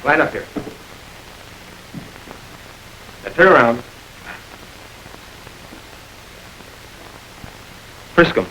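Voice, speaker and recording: A suave gentleman crook, quick and wry. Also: mid-range voice, expressive, a little hiss or hum, low-quality recording. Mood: commanding. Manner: urbane, wry and smoothly commanding. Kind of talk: 1930s film crime drama.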